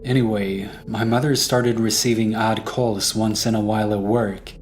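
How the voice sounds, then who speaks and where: A man's voice: fairly low pitch, fairly even intonation, normal speed. A man narrating a scripted first-person horror story. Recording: music behind the voice.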